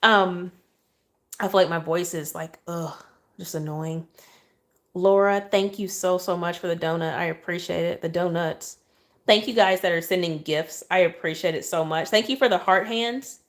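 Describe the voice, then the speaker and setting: Southern woman, soft calm lower voice, chatty livestream cake decorator at a kitchen counter. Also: moderate pace. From Oklahoma, USA. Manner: chatty, thanks viewers often, asides while working. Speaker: cake decorator live-streaming. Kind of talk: casual livestream chat while piping icing.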